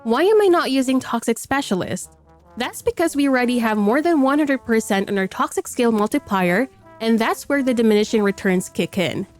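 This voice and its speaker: A woman's voice: a little high, expressive, normal speed, faint music behind. Stuffy-voiced woman explaining a game build. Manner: explanatory, matter-of-fact. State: stuffy from a cold. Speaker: game guide creator. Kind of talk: game build guide voice-over.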